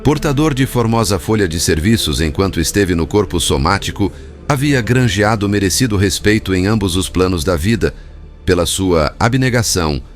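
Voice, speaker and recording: A Brazilian man reading a spiritist book as scripted narration, in a formal, measured manner. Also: low voice. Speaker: audiobook narrator. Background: background music.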